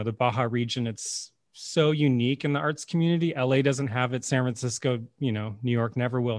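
A man in his forties, a curator, interviewing an artist in a relaxed, chatty way. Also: medium pitch, no room sound. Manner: relaxed, chatty, uses "kinda" and "you know". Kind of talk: casual conversational interview.

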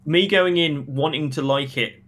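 Blunt man with a slightly high voice. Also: unhurried, a bit bright. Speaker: podcast co-host reviewing a film. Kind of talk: casual opinionated discussion.

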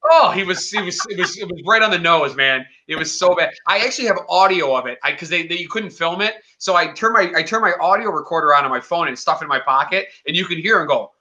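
A man in his forties chatting casually on a show, animated, opinionated and quick to joke. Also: a little high. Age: 40s. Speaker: podcast co-host. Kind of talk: casual chat.

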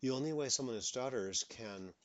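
A man's voice: mid-range voice, fairly quick, dry recording. A man who stutters openly, giving motivational advice as a stuttering coach. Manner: stutters openly, repeats words.

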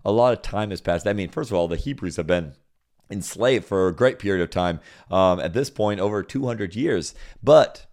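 A man from Senegal preaching a sermon, with a notably low, deep voice. He reads scripture aloud, repeats key phrases, and climbs to loud, emphatic peaks before dropping back down. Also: moderate pace.

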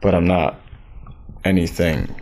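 Low-voiced, easygoing podcast host man. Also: lively intonation, measured pace, vocal fry, darkish tone, a little hiss or hum. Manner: easygoing, teasing. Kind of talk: casual chat.

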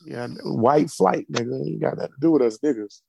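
A man's voice: mid-range voice, a little fast, gravelly, a little mellow, breathy edge, low-quality recording. A man co-hosting a casual chat show, teasing and swearing freely. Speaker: regular co-host. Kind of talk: casual banter.

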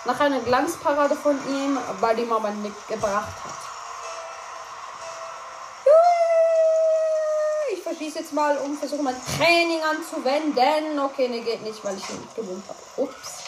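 A woman's voice: high-pitched, big pitch swings, measured pace, music in the background. A young woman giving casual, playful commentary while she plays a game, often tripping over her words. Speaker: gameplay commentator. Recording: echoey room with noise.

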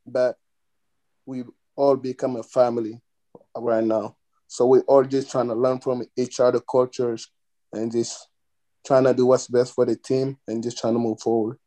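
Flat, mellow-voiced soccer player. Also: male voice, mid-range voice. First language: non-English first language. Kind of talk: casual podcast chat.

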